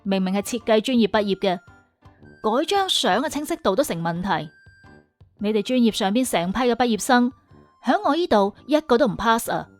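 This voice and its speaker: A woman's voice: on the high side, expressive, normal speed, dry recording, faint music behind, lightly acted. A woman playing a haughty, scathing female job interviewer in an audio drama, lecturing with cutting contempt. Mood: scornful. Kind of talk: Cantonese audio drama acting.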